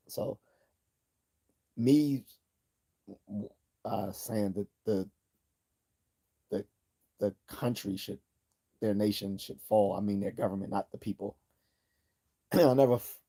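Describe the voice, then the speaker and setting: A man's voice: lowish voice, warm tone. Rambling man reading livestream chat. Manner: rambling, with frequent restarts and throat clearing. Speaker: livestream host. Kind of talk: casual livestream chat, reading viewer comments.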